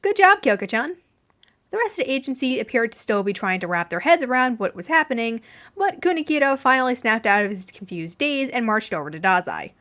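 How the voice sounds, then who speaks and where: A woman reading fan fiction aloud as an expressive scripted narration. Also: on the high side, fast. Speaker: podfic reader.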